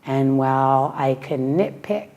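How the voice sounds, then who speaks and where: A woman from Western Massachusetts with a raspy voice, a yoga teacher speaking slowly and thoughtfully in a heartfelt personal interview. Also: rumbling low, dark tone. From Western Massachusetts, USA.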